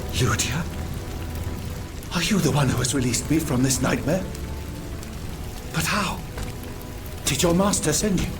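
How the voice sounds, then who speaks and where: A man playing a troubled, earnest French gentleman scientist in a video game, formal and theatrical. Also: on the high side, animated, measured pace, pauses often, over music. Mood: shaken wonder. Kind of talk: dramatic video game cutscene acting.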